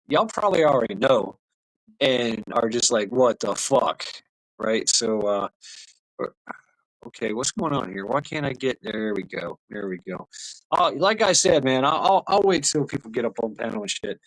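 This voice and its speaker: A man's voice: on the high side, no room sound, low-quality recording. A man hosting a casual livestream, rambling in a tipsy way, full of laughs and fillers. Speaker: livestream host.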